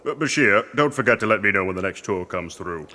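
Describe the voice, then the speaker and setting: A man's voice: on the low side, lively intonation, brisk, pushed and bright. A man acting a pompous, lazy, grumbling archaeologist with a fussy manner in a comic audio drama. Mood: exasperated. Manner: fussy, self-important.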